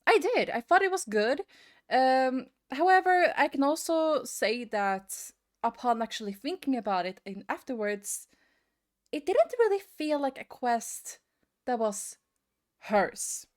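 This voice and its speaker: A woman speaks as a video creator sharing an informal rant with viewers. Her delivery is conversational and unscripted, moving in halting phrases. She puts clear emphasis on the points she cares about. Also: sits high, sing-song. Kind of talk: casual opinion rant to viewers.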